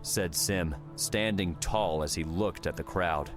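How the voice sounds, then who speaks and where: A man with a low voice reads a fiction audiobook. His narration is scripted and dramatic, laid over clearly audible music. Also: takes it slowly. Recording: background music under the voice.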